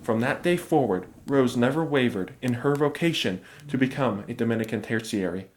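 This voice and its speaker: A man's voice: mid-range voice, expressive, moderate pace, lightly acted. A man playing a humbled, devout young man recounting events by letter in an audio drama. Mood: humbled, reflective. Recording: clear background noise.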